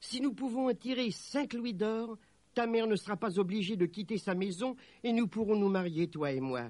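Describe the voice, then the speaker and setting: A man's voice: high-pitched, normal speed, darkish tone, no room sound, pitched above the performer's own voice, lightly acted. A man voicing a sweet, eager young peasant girl with an affectionate manner in a storybook.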